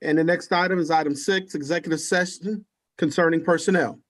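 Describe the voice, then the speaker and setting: A man with a fairly high voice works as the clerk of a public meeting. He reads out names and agenda business in a formal, procedural style over an online call. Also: fairly even intonation, brisk, fairly bright tone. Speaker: meeting clerk calling roll and reading agenda items.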